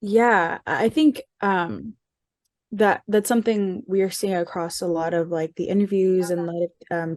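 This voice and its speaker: A young adult woman interviewing an alum over a video call, asking questions haltingly with frequent fillers. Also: on the low side, darkish tone, dry recording, studio quality.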